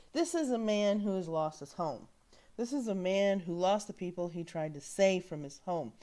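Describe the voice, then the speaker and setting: A woman's voice: low-pitched, darkish tone. A woman hosting a reaction show, giving casual, opinionated and critical commentary on a film clip, stressing key words.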